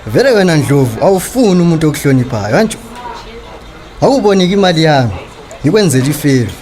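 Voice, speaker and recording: An actor playing a streetwise, sarcastic creditor in a radio drama, confrontational and taunting, with a Zimbabwean accent. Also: male voice, on the high side, big pitch swings, fast, a little mellow. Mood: indignant, threatening. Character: streetwise, sarcastic male creditor demanding his money.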